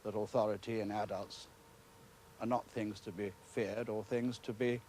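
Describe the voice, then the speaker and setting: A British man, a school principal, giving a formal, measured and earnest explanation in an interview. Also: lowish voice, level tone, darkish tone, slight background noise.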